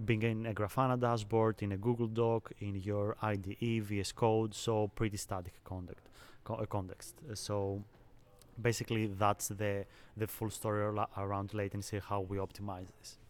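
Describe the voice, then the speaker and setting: A young man from Greece, a software engineer, calmly explaining technical work in an interview. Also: on the low side, moderate pace. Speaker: software engineer, conference speaker. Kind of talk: interview answers.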